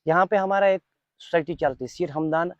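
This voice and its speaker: A man's voice: fairly high pitch, fairly quick, no room sound, lo-fi sound. A young man with a Kashmiri accent giving unscripted interview answers, earnest and emphatic, often repeating his phrases. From Kashmir, India. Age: young adult. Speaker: volunteer being interviewed.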